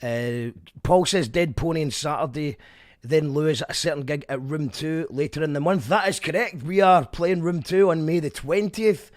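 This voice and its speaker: A man, a radio host and band musician chatting casually in an animated, rambling way, often correcting himself. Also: fairly high pitch, normal speed. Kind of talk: casual chat.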